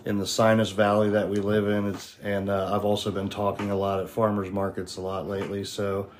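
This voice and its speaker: A man with a hoarse, worn-down voice sitting low in pitch, talking as a guest farmer on a podcast. He rambles eagerly from one point to the next, and a faint hum of background noise sits under him. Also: completely flat delivery, fairly quick. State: hoarse, losing his voice. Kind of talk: casual interview talk.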